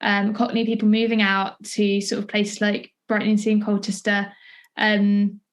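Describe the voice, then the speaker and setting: A woman's voice: mid-range voice, completely flat delivery, measured pace. A woman chatting as an interviewer in a tentative, heavily hedged way. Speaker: interviewer, theatre maker. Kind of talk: casual interview conversation.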